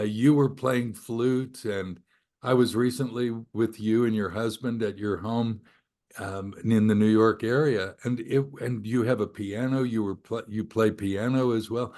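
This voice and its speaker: An older man hosts a casual podcast interview at a middle pitch. His questions wander and fill up with ums and asides. He talks to his guest like an old friend. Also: fairly even intonation, unhurried, a little mellow. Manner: chatty, meandering questions with fillers. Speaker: podcast host.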